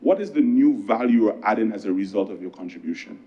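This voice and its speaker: A man with a Barbadian accent delivering a parliamentary speech as a government minister, conversational and earnest, with emphatic pauses. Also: lowish voice, expressive, lo-fi sound. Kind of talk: political speech in parliament with anecdotes.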